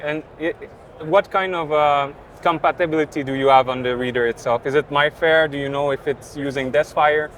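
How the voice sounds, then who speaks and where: A man's voice: on the high side, moderate pace, a bit bright. A man co-presenting as a casual interviewer at a trade show, asking questions in an enthusiastic, agreeable way. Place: busy indoor trade show booth. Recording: constant trade show floor noise. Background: exhibition hall ambience.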